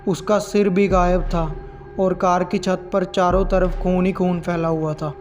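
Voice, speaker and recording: Casting: male horror narrator with a high-set voice and very even delivery. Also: measured pace, slight background noise. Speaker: horror story narrator and channel host. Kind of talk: scripted narration of horror stories.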